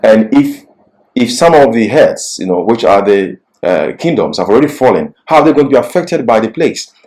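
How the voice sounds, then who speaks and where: A man's voice: mid-range voice, big pitch swings, fairly quick, a little mellow, rough recording. A man presenting a Bible lecture, reading prepared points and explaining them emphatically, repeating key phrases. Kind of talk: scripted religious lecture with explanatory asides.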